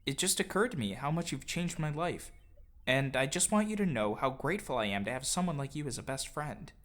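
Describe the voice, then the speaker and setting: A man's voice: mid-range voice, big pitch swings, brisk, a bit bright. A man giving an earnest, sincere scripted narration of his own life story in audio drama form. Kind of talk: scripted autobiographical narration with acted dialogue. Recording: music behind the voice. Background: music.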